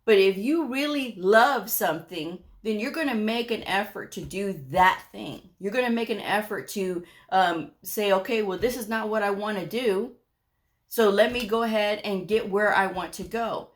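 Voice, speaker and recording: Middle-aged woman vlogger, mid-pitched voice, blunt and scolding, no-nonsense advice. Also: normal speed. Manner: frank, scolding, emphatic. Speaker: medical coding vlogger.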